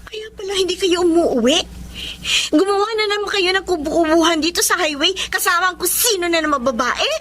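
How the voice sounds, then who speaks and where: A woman's voice: very high-pitched, brisk, a bit bright. A woman with a Filipino accent acting an emotional, accusing daughter in a Tagalog radio drama. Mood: bitter reproach. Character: hurt, angry adult daughter confronting her wayward father.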